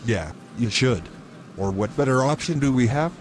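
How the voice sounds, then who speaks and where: A man's voice: medium pitch, animated, normal speed, a little hiss or hum, close to an everyday voice. A man playing a pushy, selfish young boyfriend in a scripted moral story, speaking in formal, wordy, insistent phrases. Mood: dismissive, evasive.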